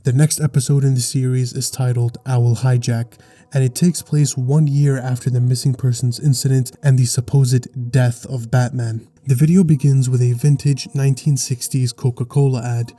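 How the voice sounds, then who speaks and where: A man narrating a scripted explainer in a measured, articulate storytelling way. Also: mid-range voice, flat delivery, mellow. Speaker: video essay narrator.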